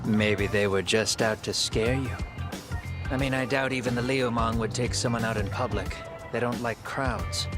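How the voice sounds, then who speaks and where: An actor playing a cocky, streetwise local fixer in a video game dub, teasing and slangy. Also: male voice, medium pitch, fairly even intonation, normal speed, natural voice. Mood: smug. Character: cocky, streetwise male local handyman with underworld ties. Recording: music behind game dialogue.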